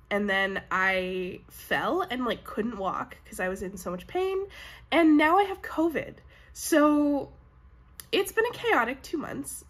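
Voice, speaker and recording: A young Canadian woman hosting a knitting vlog from home, chatty and upbeat, sounding nasal and sniffly from a cold. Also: fairly high pitch. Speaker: knitting podcast host. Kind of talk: casual chat to camera. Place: home room with bookshelves, indoors. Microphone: camera in front at desk distance.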